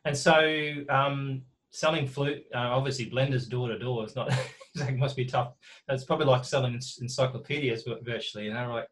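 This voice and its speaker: Fast-talking man hosting. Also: medium pitch, steady tone, a bit bright. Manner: chatty, laughs often, restarts sentences. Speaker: podcast host. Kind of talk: conversational interview.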